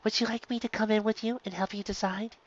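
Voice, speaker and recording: A narrator voicing a kindly, patient adult female pony schoolteacher with a gentle, measured, advising manner in a storybook reading. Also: mid-range voice, fairly even intonation, lo-fi sound, pitched above the performer's own voice, close to an everyday voice.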